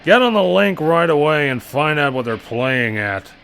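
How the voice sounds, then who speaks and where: A man voicing a blunt, threatening American army general in an audio story, barking his lines forcefully. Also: on the high side, very animated, moderate pace, creaky, slight background noise, full character voice. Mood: commanding. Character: gruff, blustering American army general, hard-jawed and threatening. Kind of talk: audio story character acting.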